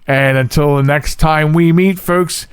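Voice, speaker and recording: A man's voice: a little high, fairly even intonation, unhurried, clear, resonant tone, no echo, clean studio sound. An American man hosting a podcast alone, chatting casually in a rambling way and correcting himself as he goes. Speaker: podcast host. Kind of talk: casual solo podcast chat.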